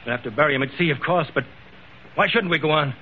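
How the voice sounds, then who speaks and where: An actor playing a suspicious, arrogant wealthy husband in theatrical, accusing old-time radio drama style. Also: male voice, on the high side, quick pace, natural voice. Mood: accusing, indignant. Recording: dull, hissy old radio recording.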